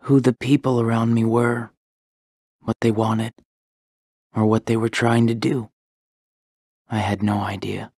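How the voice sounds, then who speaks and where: A quiet, slow-spoken teenage boy. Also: mid-range voice, flat delivery, no echo, studio quality. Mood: reflective. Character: quiet, earnest, naive teenage boy, new organization member. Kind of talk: English game voice acting, dialogue and reflective narration.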